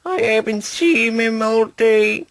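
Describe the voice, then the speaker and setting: A man's voice: very high-pitched, slow, no room sound, voice pushed up, exaggerated voice. A man voicing a sulky, stubborn female engine in a children's storybook, grumbling and snappy. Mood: tearful.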